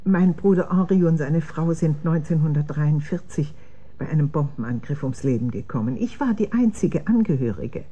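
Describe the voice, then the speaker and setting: An actress playing a fearful, respectable old lady with a prim manner and a husky voice in a German radio drama. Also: low-pitched, lively intonation, moderate pace, mellow, highs cut off. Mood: distressed, prim. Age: older.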